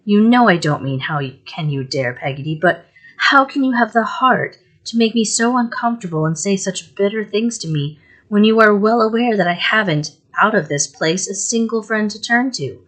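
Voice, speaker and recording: A woman reading aloud and voicing a pretty young widowed mother who is tearful and easily hurt, pleading in a high, rising voice. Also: lively intonation, normal speed, hardly any pauses, natural voice. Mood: distressed. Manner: plaintive, pleading, rising in distress.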